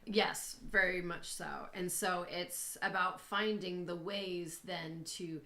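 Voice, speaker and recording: Brief: woman, lowish pitch, sore throat, candid co-host in a roomy echo. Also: level tone, slow, pushed and bright. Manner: candid and reflective, with fillers. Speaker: co-host and coach. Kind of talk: casual conversation.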